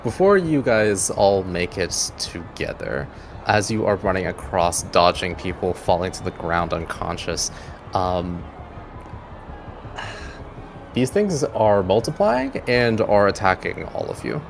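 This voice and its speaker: Low-voiced man running a tabletop game. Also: animated, a little slow, vocal fry, slight background noise. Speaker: game master. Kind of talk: improvised narration of a tabletop game.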